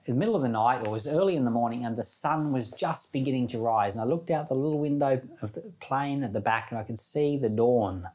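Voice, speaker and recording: A man's voice: medium pitch, fast talker, hardly any pauses, a little mellow, poor audio. A man giving an informal sermon as a Bible teacher, reading scripture and commenting in a conversational way with rhetorical questions.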